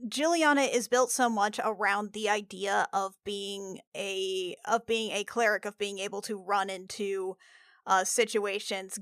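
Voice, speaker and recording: A woman podcaster in thoughtful unscripted discussion, haltingly thinking aloud as she explains. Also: medium pitch, fairly bright tone, clean tone, no echo, clean studio sound. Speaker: podcast cast member and player.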